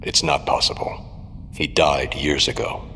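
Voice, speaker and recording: An actor playing a stern, brooding old retired hero in an audio drama, gruff, grave and terse. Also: male voice, extremely low pitch, flat delivery, a little slow, pushed and bright, a little hiss or hum, heavily acted. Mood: grim. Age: older. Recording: long cavernous reverb.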